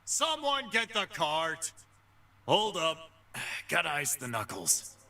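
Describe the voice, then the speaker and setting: A brawling fight-pit boss, gruff, boastful, unhurried drawl, swooping pitch, game voice lines over music. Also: male voice, huge pitch swings, pushed and bright, a little hiss or hum, full character voice. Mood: cocky. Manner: gruff, boastful trash talk. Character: cocky brawling male fight-pit boss, swaggering tough.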